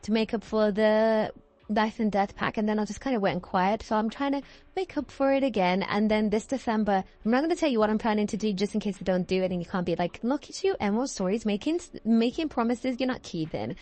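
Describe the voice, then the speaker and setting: A woman's voice: mid-range voice, fairly quick, darkish tone. A British woman in her early twenties chatting casually in a rambling, self-correcting way, as a video creator talking to viewers. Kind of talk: casual rambling chat over gameplay.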